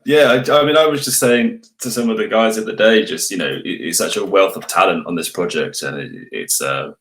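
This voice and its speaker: Male voice actor, notably low pitch, measured and reflective in relaxed interview conversation. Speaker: voice actor, cast member. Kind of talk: casual interview talk. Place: online voice call.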